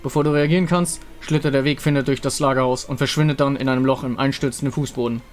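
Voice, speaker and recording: A man's voice: mid-range voice, fairly even intonation, fast talker. Man narrating a fantasy game, music behind. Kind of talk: scripted story narration.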